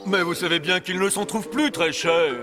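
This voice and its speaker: A man's voice: sits high, a little fast, lightly acted. A man voicing a pompous, jovial, doting old fairy-tale king in a French children's cartoon. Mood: flustered, delighted. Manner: pompous, excitable. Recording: long hall reverb, faint music.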